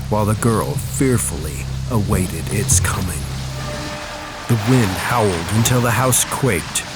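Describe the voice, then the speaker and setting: A male narrator with a fairly low voice delivers a scripted horror tale. His reading is theatrical and ominous, set over clearly audible music and storm ambience. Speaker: story narrator.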